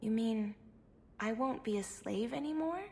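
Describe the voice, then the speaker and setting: Game role: young female elf, former slave, timid and obedient, lightly high voice. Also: measured pace, vocal fry, dark tone. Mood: grateful. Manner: meek, deferential. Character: timid young elven servant girl, meek and eager.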